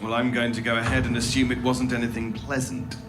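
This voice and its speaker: A man's voice: mid-range voice, flat delivery, fairly quick, natural voice. Man acting a coldly polite male interrogator, music. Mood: dry. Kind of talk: dramatic horror audio fiction acting.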